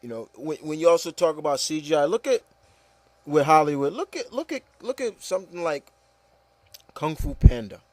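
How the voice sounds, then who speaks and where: A man's voice: on the high side, animated, normal speed, no echo. A man hosting a casual, opinionated discussion, speaking emphatically and repeating phrases to drive points home. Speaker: podcast host.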